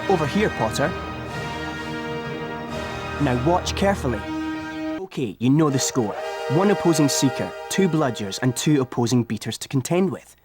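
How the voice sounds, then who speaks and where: A man playing a brisk, encouraging school sports coach in a fantasy game, giving clear instructions. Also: medium pitch, lively intonation, over loud music, lightly acted.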